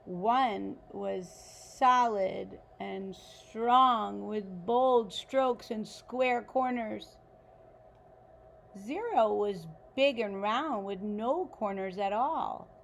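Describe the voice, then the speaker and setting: A woman reading a children's picture book aloud like a teacher, expressively and deliberately, with questions to the listeners. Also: mid-range voice, gravelly, a little hiss or hum. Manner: animated, deliberate, pauses to ask listeners questions.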